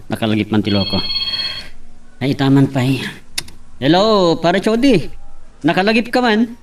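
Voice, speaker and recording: A man's voice: on the high side, unhurried, natural voice. A man with an Ilocano accent playing a casual young friend in an audio drama, on a slightly noisy, distant recording. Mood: casual, amused. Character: young man, casual buddy shy about love. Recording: distant mic, faint noise.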